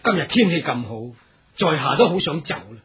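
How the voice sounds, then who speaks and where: An actor playing a courteous, high-minded young gentleman wanderer in a period radio drama, speaking Hong Kong Cantonese with formal humility. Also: sing-song, moderate pace, lo-fi sound, crowd noise. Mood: polite. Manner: formal, humble, archaic courtesy.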